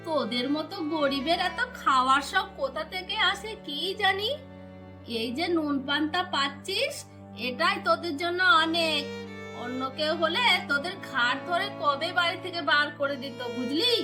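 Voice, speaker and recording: A woman's voice: high voice, moderate pace, over music, close to an everyday voice. A woman voicing a cruel, scornful village stepmother in a cartoon folk tale, scolding and taunting as she talks.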